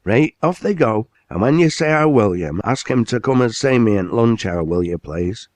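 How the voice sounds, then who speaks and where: A man voicing a blunt, hearty older male factory owner with a broad Lancashire dialect, in a commanding, brisk manner. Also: mid-range voice, expressive, darkish tone, no room sound, pitched above the performer's own voice, lightly acted.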